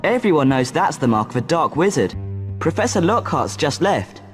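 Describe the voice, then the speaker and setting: An actor plays a suspicious pupil at a wizard school in a video game. The gossipy delivery is very animated, with faint music behind. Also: mid-range voice, normal speed, close to an everyday voice. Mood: accusing, gossipy.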